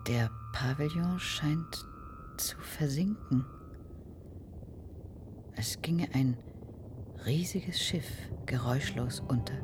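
A woman's voice: extremely low pitch, takes it slowly, long pauses, over music, full character voice. An actress playing a melancholy, haunted woman in a radio drama, poetic, brooding and trance-like. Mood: haunted, dreamy.

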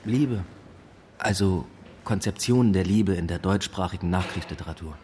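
An actor playing a dry, understated male academic in naturalistic radio drama dialogue, laconic and low-key. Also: moderate pace, a little mellow, a little hiss or hum, close to an everyday voice.

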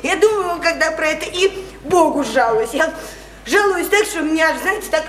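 An actress playing a naive, odd young provincial woman in a radio drama, halting and childlike, repeating herself as she pours out her feelings. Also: very high voice, animated, reverberant. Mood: plaintive, pitying. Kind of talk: stage-style radio drama acting.

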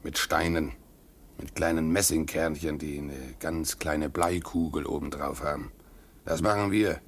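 A man's voice: extremely low pitch, fairly even intonation, a little slow, heavily acted. A man playing a stolid, fatalistic ship stoker in a German radio play, laconic and colloquial with dry irony. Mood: sardonic. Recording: faint background noise on radio recording.

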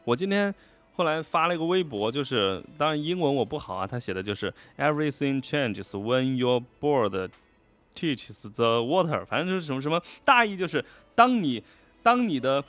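A man speaking as a voice actor being interviewed, in casual, unscripted and expressive conversation with emphatic delivery. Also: medium pitch, normal speed, faint music behind.

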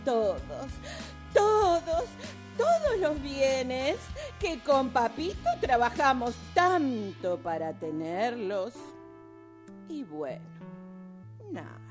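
A woman's voice: high voice, big pitch swings, very slow, music in the background, full character voice. A woman playing a sly, gleeful older Argentine mother in a radio drama, with a theatrical, mock-sweet manner. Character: sly, gleeful older mother reading her mocking farewell letter.

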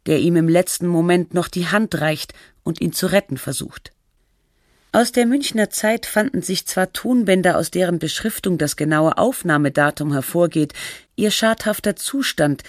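A woman playing the sober, measured narrator of a literary radio documentary. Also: lowish voice, no echo, clean studio sound, natural voice. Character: composed documentary narrator of a fictional radio feature.

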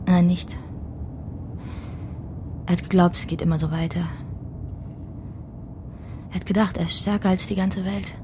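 A woman playing a haunted, grieving gangster's lover in a radio crime drama, her voice creaky. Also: lowish voice, steady tone, measured pace, long pauses, background noise, natural voice. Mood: bitter, mournful.

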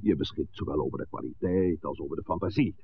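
A man playing a suave, scheming village pastor in a 1960s radio drama, speaking in formal, measured, courteous sentences. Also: a bass voice, creaky, breathy edge, exaggerated voice. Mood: grave, diplomatic. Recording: muffled, noisy old radio recording.

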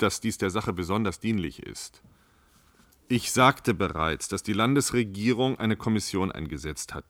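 An actor in a radio play as an evasive government spokesman, speaking in a measured, bureaucratic, placating way. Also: fairly low pitch, dry recording, studio quality, lightly acted. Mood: defensive, insistent. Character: evasive, appeasing male government spokesman playing down a crisis. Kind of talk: radio play acting as a panel discussion guest.

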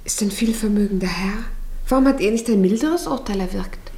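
A woman's voice: medium pitch, lively intonation, brisk, dark tone, natural voice. An actress playing a gushing, gossipy society lady in a 1950s German radio drama, with an affected, theatrical manner. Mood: affected concern. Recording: old radio recording, roomy, faint noise.

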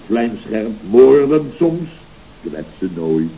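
A man's voice: medium pitch, very animated, takes it slowly, mellow. A man playing a pompous, indignant, self-satisfied building contractor in a scripted radio comedy, blustering and rambling in grand words. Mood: smug, self-amused. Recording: dull, noisy old radio recording.

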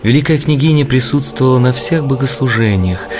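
A man narrating a religious historical audio play from a script in a solemn, measured way. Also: mid-range voice. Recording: clear music bed under narration.

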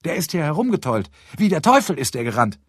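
A man's voice: fairly high pitch, very animated, fast talker, slightly rough, no room sound, clean studio sound, voice pushed up. A man voicing a chatty, unfazed little girl in an audiobook, with a matter-of-fact, childlike manner. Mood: eager, chatty. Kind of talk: audiobook character voice.

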